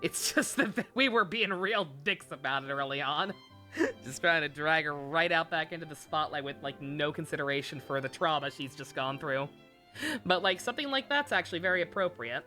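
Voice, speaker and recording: A woman's voice: low voice, animated, moderate pace, pushed and bright, soft background music. A woman chatting casually on a game livestream, rambling and blunt, with some swearing.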